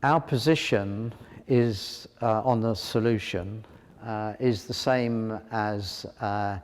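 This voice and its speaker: Low-voiced man, deliberate and earnest. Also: big-room sound. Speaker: interviewee. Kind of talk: interview answers.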